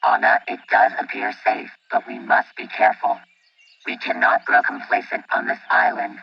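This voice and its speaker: Gravelly, husky robot guardian. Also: male voice, a bass voice, no room sound, highs cut off, full character voice. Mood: deadpan. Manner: formal, deadpan, measured. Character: steely, cautious guardian robot, formal and protective. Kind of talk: audio drama acting.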